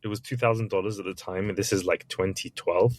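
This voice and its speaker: Low-pitched man, talks loose and chatty, lots of restarts, guest on a podcast. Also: fairly even intonation, quick pace. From Iraq, raised in England. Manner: candid, chatty, with many restarts and little acted quotes. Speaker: author and entrepreneur guest. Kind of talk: interview answers, storytelling.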